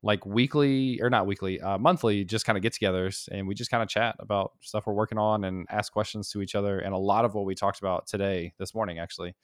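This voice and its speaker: Guy with a lowish voice as a podcast guest, excited, says like constantly, dry sound. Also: quick pace, few pauses, smooth, dry recording. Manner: enthusiastic, chatty, frequent "like". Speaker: guest, developer and YouTuber. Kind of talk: casual podcast conversation.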